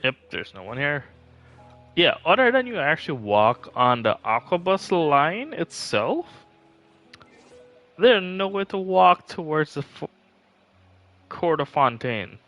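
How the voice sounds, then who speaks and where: A man's voice: on the high side, sing-song, slow pace, pauses often. A man livestreaming a video game, chatting casually as he plays. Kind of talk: casual livestream chat and reading aloud. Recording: dull lo-fi microphone, faint game music.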